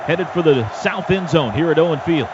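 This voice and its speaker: An American man calling live football play-by-play on radio in clipped, excitable bursts, recorded in lo-fi broadcast sound. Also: fairly high pitch, a loud crowd. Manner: excitable, clipped rapid-fire calls. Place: stadium radio booth. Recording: dull lo-fi broadcast with loud stadium noise. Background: loud stadium ambience.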